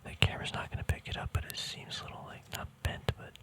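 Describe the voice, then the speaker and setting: A man whispering casual ASMR to viewers in a breathy, unvoiced voice, rambling and thinking aloud without hurry, very close to the mic. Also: fast talker, a bit bright. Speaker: ASMR host. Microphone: mic very close to the mouth and nose.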